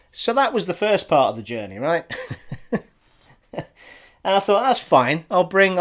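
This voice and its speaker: A man from Scotland with a generic English accent, rambling cheerfully through a casual gaming vlog from his living room. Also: mid-range voice. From Scottish, raised abroad in army schools. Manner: chatty and rambling, says "people" a lot. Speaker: gaming vlogger. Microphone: studio USB microphone on an arm.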